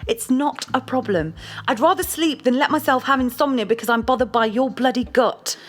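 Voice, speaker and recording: A woman's voice: high-pitched, brisk, faint music behind. An actress playing a domineering, short-tempered girlfriend in an audio play, blunt, scolding and foul-mouthed. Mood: bossy, irritated.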